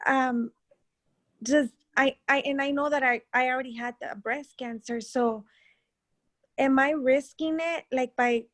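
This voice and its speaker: A woman's voice: high voice, fairly even intonation, unhurried, fairly bright tone. A woman in her forties asking a question in a Q&A session, hesitant with restarts. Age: 40s. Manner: hesitant, with nervous laughs and restarts. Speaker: caller.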